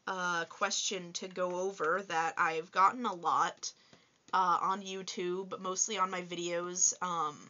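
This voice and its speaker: A young woman in her twenties talks through a casual vlog, chatty and upbeat with plenty of ums. Her voice sits low for a woman yet sounds bright and forceful. The recording is dull and lo-fi. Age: 20s. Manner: chatty and upbeat, many fillers. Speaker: toy reviewer and vlogger. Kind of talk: casual vlog answering viewer questions. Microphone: voice-over with face unseen.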